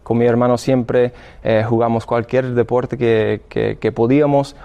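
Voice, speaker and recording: A man's voice: medium pitch, level tone, creaky, mellow, clear, resonant tone, highs cut off. American man, hesitant Spanish. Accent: American English. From Illinois, United States. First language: English. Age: 30s. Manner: halting, many restarts. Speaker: seminarian guest. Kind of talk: radio interview testimony.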